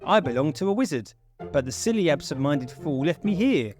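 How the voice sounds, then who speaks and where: Storybook casting: man as wheezy talking spell book, indignant, high and swooping. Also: sing-song, a little fast, a little mellow, soft background music. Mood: complaining.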